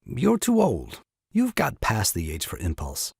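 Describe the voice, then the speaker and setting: An actor playing an excitable, scheming young father and ingratiating nephew in an audio farce, flustered and wheedling. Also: lowish voice, a little slow, vocal fry, slightly rough, dry recording, studio quality, full character voice. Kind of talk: stage farce audio drama acting.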